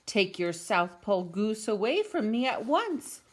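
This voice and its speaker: A woman's voice: mid-range voice, big pitch swings, measured pace, pitched above the performer's own voice. A woman voicing an astonished, indignant housewife in a storybook, exclaiming and scolding. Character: indignant, astonished neighbor housewife, Missus Callahan.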